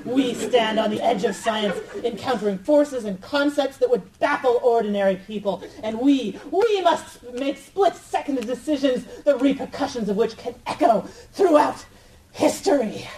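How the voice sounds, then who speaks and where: Lady doing a young science heroine, highish voice, dry and bossy, live radio play, mic a bit far. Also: animated, moderate pace, dark tone, natural voice. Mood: declaiming. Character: spirited young female mad-science heroine. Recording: distant live stage mic, faint noise.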